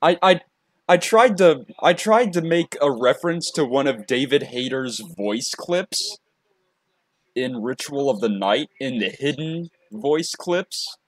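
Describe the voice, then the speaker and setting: A man presenting a casual software tutorial, talking in a halting way and often repeating his phrases. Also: mid-range voice, animated, no echo. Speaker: tutorial presenter and hobby voice actor.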